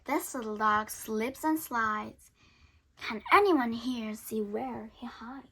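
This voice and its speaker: A woman with a high, bright voice reads a picture book aloud for children. She takes her time over the phrases and performs them dramatically, dropping into hushed whispers and rising into emphatic bursts. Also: slow, slight background noise. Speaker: storyteller reading aloud.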